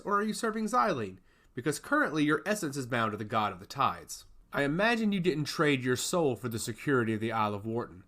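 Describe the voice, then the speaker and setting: A stern god of intellect, as a male narrator plays him, lays down the law with sweeping, animated pitch. His delivery is solemn and emphatic, with a sly edge, in the manner of a fantasy audiobook. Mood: stern, lecturing. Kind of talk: fantasy audiobook character acting.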